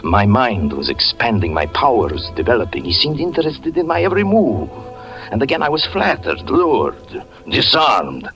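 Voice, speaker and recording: A man's voice: medium pitch, wildly swooping pitch, moderate pace. An actor playing a jealous, haunted fortune-teller who narrates his own doom in a grave, theatrical 1940s radio drama style. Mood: brooding. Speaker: narrator and lead character. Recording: lo-fi old radio recording, faint music.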